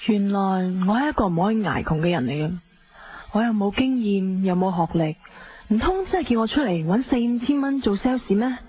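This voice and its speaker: A woman's voice: mid-range voice, lively intonation, brisk, breathy edge. An actress with a Hong Kong accent playing a spoiled, playful young wife in her twenties, telling her story in a radio drama. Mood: breezy, candid. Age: young adult. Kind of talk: radio drama acting, first-person narration. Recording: dull lo-fi audio with faint noise.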